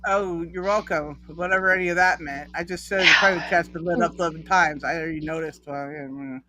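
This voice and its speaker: A man's voice: a little high, fairly even intonation, brisk, very noisy. A man hosting a casual live-stream chat, rambling, laughing often and losing his thread. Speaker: host.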